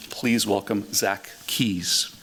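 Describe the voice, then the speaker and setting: A man introducing a guest lecturer in halting speech, full of uhs. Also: on the low side, steady tone, very noisy. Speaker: lecture host introducing the speaker. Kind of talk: formal introduction. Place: lecture hall.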